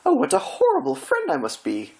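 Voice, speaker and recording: Male reader as a refined female cartoon pony, raised pitch, theatrical, gushing, prim, fussing. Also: huge pitch swings, a little fast, creaky, darkish tone, heavily acted. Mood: theatrical remorse.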